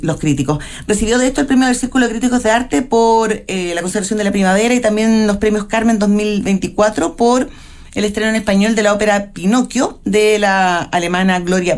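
A woman from Chile, interviewing a conductor and carefully reciting his credits and reviews. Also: mid-range voice. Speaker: interviewer, radio host. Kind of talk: cultural interview.

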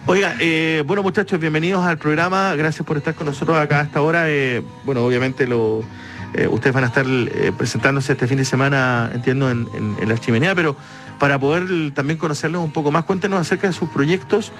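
A Chilean man hosts a casual radio interview in a slightly high voice. He feels his way into questions, doubling back on words as he goes. Faint music plays behind him. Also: fairly even intonation, fairly quick, a bit bright. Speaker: radio show host.

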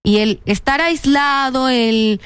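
A young woman with a Chilean accent answers interview questions in a chatty, self-mocking way. Her voice sits a little high and sounds smooth and resonant. The recording is close and dry. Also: takes it slowly, no room sound. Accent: Chilean Spanish. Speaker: guest. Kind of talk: casual interview chat.

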